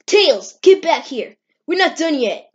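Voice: high voice, big pitch swings, measured pace. A performer voicing a cocky, teasing young male hedgehog with slangy talk in an amateur cartoon comic dub. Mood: annoyed. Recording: dull, lo-fi screen-recorded sound.

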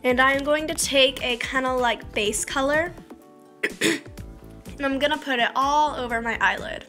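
A woman's voice: high voice, a little slow, pushed and bright, soft background music. Scratchy-voiced teen girl rambling through makeup. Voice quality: slightly scratchy voice. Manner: rambling, self-mocking, drifts to a mumble. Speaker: beauty vlogger. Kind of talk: casual makeup tutorial chat. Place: small bedroom by a curtained window.

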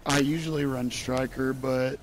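A man's voice: medium pitch, little pitch movement, normal speed, a little hiss or hum, poor audio. A man playing as a teammate, making teasing offhand remarks during a match. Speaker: teammate in a game. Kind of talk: casual gaming chat.

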